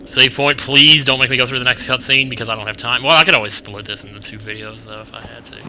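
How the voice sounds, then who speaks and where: A man's voice: medium pitch, fast talker, sharp tone, slight background noise, low-quality recording. A young American man giving casual, rambling let's play commentary with wry asides. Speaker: gaming video host. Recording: game music behind the voice. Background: video game music.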